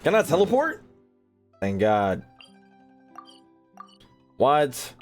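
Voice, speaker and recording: A man's voice: fairly high pitch, huge pitch swings, drawn-out words, soft background music. A young American man giving casual, joking, slangy gameplay commentary as a streamer talking to his chat. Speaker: gaming streamer.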